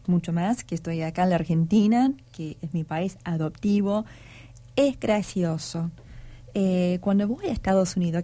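Radio host, woman from the United States, mellow dark tone, dry studio sound, reflective and persuasive. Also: mid-range voice, no echo. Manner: reflective, gently persuasive, with frequent fillers. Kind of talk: radio talk show. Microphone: studio microphone.

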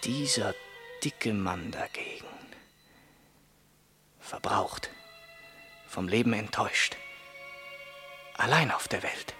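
A man's voice: lowish voice, slow pace, pauses often, pushed and bright, faint music behind. An actor in a German radio play voicing a tormented young man tempted to murder, in an intense inner monologue that moves between whispers and agitated outbursts. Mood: scheming, brooding.

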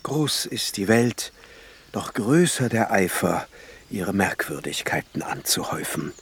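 A philosophical alchemist of a bygone court for radio drama. The voice is breathy and worn, fairly low and slow. The phrasing is formal and archaic, with a brooding weight. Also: male voice, very animated, full character voice. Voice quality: husky, breathy.

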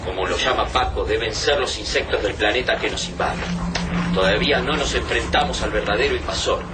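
A man's voice: mid-range voice, a little fast, lightly acted. Male actor: cautious, reasoning guerrilla for radio drama; medium voice, distant in a noisy scene. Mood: grave doubt. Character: wary, thoughtful male guerrilla fighter, doubting the advance.

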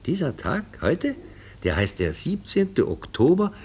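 A man's voice: on the high side, wildly swooping pitch, measured pace, a little mellow, breathy edge, full character voice. A man playing a patient, kindly teacher in a radio play, explaining things simply and carefully as if to a child. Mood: encouraging. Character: patient, kindly male teacher, gently instructing his pupil. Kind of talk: German radio play acting.

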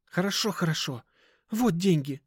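A man's voice: high voice, expressive, normal speed, husky, no echo, studio quality, voice pushed up. A man voicing an eager, stubborn young woman, demanding yet easily flustered, in an audiobook. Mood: flustered, placating.

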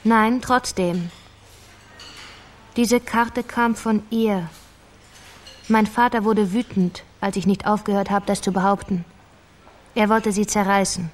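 A woman's voice: medium pitch, steady tone, takes it slowly, pauses often, close to an everyday voice. An actress playing a guarded, irritable young mother in a radio crime drama, with a terse, defensive manner. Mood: guarded, insistent.

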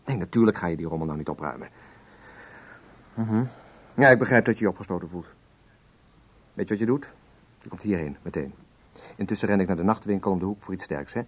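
Husky, low-voiced friend. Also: male voice, brisk, pauses often, lo-fi sound. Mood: concerned, brisk. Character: uneasy but caring male friend taking a phone call. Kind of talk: natural conversational radio drama acting.

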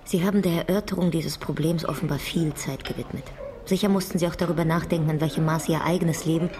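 A woman's voice: sits low, steady tone, quick pace, crowd noise, natural voice. An actress in a German radio drama playing an earnest, troubled woman in a draining love, searching and introspective. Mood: probing, grave.

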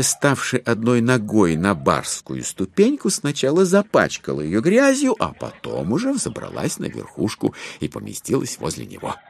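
A man narrating a dramatised literary audiobook in an expressive, measured storytelling manner. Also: mid-range voice, gravelly.

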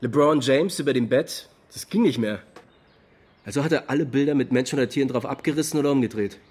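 An actor playing an agitated, indignant young friend with slangy, emphatic delivery in a naturalistic radio drama. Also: male voice, mid-range voice, fast, a little mellow, slightly rough, natural voice.

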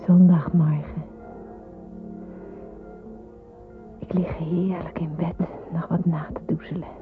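An actress playing a gentle young woman who helps with small children in wartime, soft-spoken and reflective, in a radio play. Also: fairly low pitch, little pitch movement, normal speed, soft background music, lightly acted. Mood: dreamy, calm. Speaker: narrator and lead character of a radio drama. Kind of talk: first-person radio drama narration and dialogue. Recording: muffled, phone-like old radio recording.

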